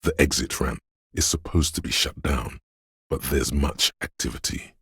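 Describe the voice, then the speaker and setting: Game narrator: ominous, measured voice of a brooding city, bottomless bass, heavy vocal fry, atmospheric second-person delivery. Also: male voice, no room sound, clean studio sound, full character voice. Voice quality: gravelly, husky. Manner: measured, hushed, poetic and solemn.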